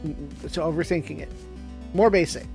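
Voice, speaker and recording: A co-host chats casually during a let's play. The delivery is halting and quizzing, with game music playing clearly behind. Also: mid-range voice, a little mellow.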